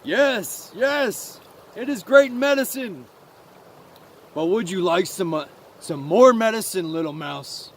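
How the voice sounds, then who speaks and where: A man voicing a calm, sly, wise frog in a story, speaking in a measured, coaxing way. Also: high-pitched, full character voice.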